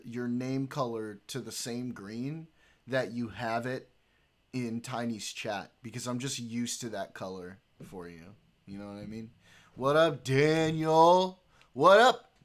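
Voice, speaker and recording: A man's voice: mid-range voice, takes it slowly, a bit bright. A man hosting a livestream, chatting casually with his chat while he plays a game. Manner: laid-back, talks to chat while playing. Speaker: livestream host.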